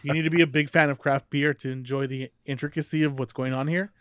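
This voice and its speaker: A man gives a careful, hesitant beer review, pausing with "um" as he weighs each point. His voice is mid-pitched and recorded close and dry. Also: fairly even intonation, fairly bright tone, smooth, dry recording. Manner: thoughtful, hesitant, many "um"s. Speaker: podcast co-host. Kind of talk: casual chat, beer review.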